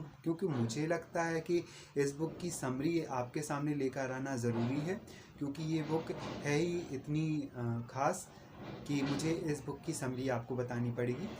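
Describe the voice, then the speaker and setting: A man's voice: fairly high pitch, background noise. A Hindi-speaking man giving an earnest, repetitive book recommendation in a casual video review.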